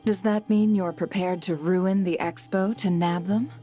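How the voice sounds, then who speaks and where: A woman's voice: on the low side, steady tone, dark tone, faint music behind, close to an everyday voice. Woman playing a poised, courteous guild leader. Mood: measured, firm. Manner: formal, measured, courteous. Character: poised, cryptic woman heading a secretive trade guild. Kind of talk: video game character acting.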